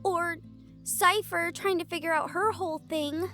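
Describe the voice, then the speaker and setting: A woman's voice: very high-pitched, steady tone, unhurried, bright, forceful tone, faint music behind, full character voice. A woman acting in an intimate audio roleplay as an anxious, tender young woman from a family of hunters, speaking earnestly and hesitantly. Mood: worried, earnest.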